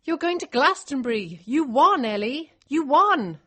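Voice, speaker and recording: A woman's voice: fairly high pitch, sing-song, moderate pace, fairly bright tone. A woman voicing an emphatic, bossy but affectionate female relative in audiobook character dialogue. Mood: excited, proud. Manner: urgent, emphatic, gushing.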